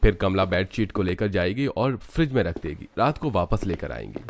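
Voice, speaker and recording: Low-voiced, animated male storyteller. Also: normal speed, slight background noise. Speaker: narrator. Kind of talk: scripted cartoon story narration in Hindi.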